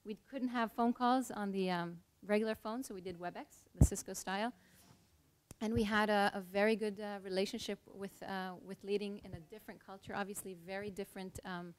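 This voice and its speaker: A woman's voice: medium pitch, fairly even intonation, fairly quick. A middle-aged woman from Israel, speaking earnestly on a panel as an HR leader and telling a personal story.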